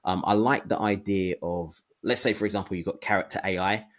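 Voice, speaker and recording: A man's voice: sits low, fairly even intonation, fairly quick. A man co-hosting a casual podcast discussion, thinking aloud hesitantly in broken phrases. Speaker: podcast co-host.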